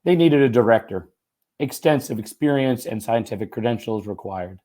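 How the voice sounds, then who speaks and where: A slightly hoarse man reading a first-person short story aloud in an expressive, conversational, folksy way. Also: mid-range voice, normal speed. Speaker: writer and teacher reading own short story.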